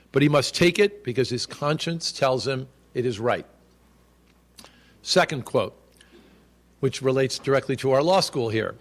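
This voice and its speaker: Measured older man giving a speech. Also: a little high. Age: 60s. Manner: measured, reads quotes aloud with emphasis; loud projecting voice. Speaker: school official giving a speech. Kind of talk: formal commemorative speech. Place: indoor event hall.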